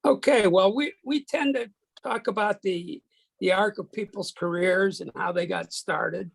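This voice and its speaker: A man hosting an informal interview, asking questions in a halting, conversational way. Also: high-pitched, vocal fry, no room sound. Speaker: interviewer, host.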